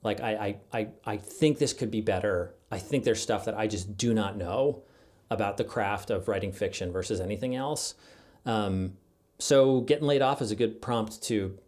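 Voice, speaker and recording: A man's voice: fairly low pitch, moderate pace, a little mellow. A middle-aged man speaking as an interview guest in a casual, reflective, conversational way. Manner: thoughtful, conversational. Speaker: podcast guest, journalist and writer.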